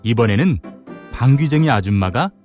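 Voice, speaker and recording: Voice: medium pitch, lively intonation, normal speed, a little mellow. A narrator telling a children's folktale expressively, playing up the sound words. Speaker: storyteller narrator. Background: music.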